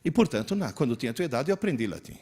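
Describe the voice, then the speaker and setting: A man in his sixties giving a lively lecture, telling stories, joking and leading the audience in exercises. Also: mid-range voice, very fast, slightly rough. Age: 60s. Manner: animated storyteller, joking, coaxing the audience.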